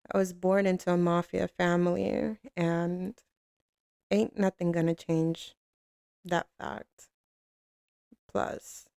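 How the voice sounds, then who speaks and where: A woman acts out a tough female mafia boss in an intimate ASMR roleplay. The voice is full of gravelly fry and takes its time, cool and commanding yet tender toward a lover. It sounds very dry and close, with no room around it. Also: lowish voice, mellow, dry recording. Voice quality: gravelly, creaky. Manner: cool, unhurried, commanding drawl with pet names.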